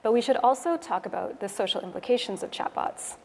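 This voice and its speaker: A woman from Toronto with a Canadian English accent gives a prepared conference talk in a clear, measured, explanatory way. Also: on the high side, lively intonation, a little mellow. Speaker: conference speaker, web developer. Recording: echoey hall, noisy room ambience.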